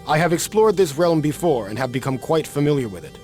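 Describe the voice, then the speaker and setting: An actor playing an earnest wandering warrior champion in a video game, speaking politely and formally. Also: male voice, fairly high pitch, animated, fairly quick, a little mellow, lightly acted. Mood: earnest, composed. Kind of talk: video game cutscene acting. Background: music.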